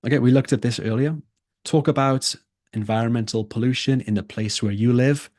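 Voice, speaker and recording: A man's voice: medium pitch, fairly even intonation, a little fast, a little mellow, dry recording, studio quality. A man who tutors for a test, teaching a live online lesson and repeating his key points for emphasis.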